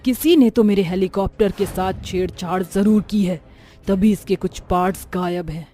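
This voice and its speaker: A woman's voice: medium pitch, normal speed, mellow, soft background music, close to an everyday voice. A woman voicing an earnest young village wife who thinks aloud in a storybook cartoon. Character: young village wife, engineer, later a witch.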